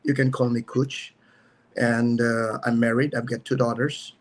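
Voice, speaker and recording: A man's voice: medium pitch, level tone, unhurried. A married man chatting casually and jokingly, rambling a little with fillers. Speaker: guest, businessman. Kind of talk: casual livestream chat.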